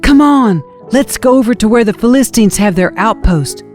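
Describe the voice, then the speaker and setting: A woman's voice: a little high, lively intonation, moderate pace, darkish tone, faint music behind, voice pushed up, lightly acted. A woman voicing a bold, devout young warrior prince in an audio scripture reading, urging and confident.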